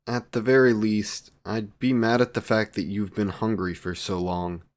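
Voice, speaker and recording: A man's voice: on the low side, level tone, moderate pace, lightly acted. A man playing a courtly, devoted, youthful vampire boyfriend in a romantic audio roleplay, with archaic, formal wording and tender endearments. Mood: tender, doting. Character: courtly, devoted 128-year-old male vampire boyfriend, youthful, doting.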